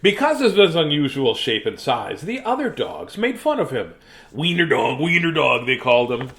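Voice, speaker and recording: Animated man reading a bedtime story. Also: medium pitch, slight background noise. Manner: theatrical, with dramatic pauses.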